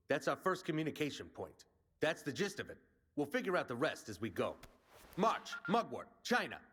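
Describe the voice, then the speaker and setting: A man's voice: fairly high pitch, fairly bright tone. An actor playing a brash male resistance commander in a video game, rallying and plain-spoken like a soldier.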